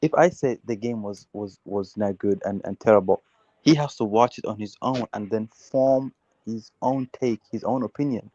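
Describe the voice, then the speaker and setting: A man's voice: lowish voice, moderate pace, mellow, dull audio, slight background noise. A man from Uganda hosting a heated casual football debate, insistently repeating words and restarting his sentences.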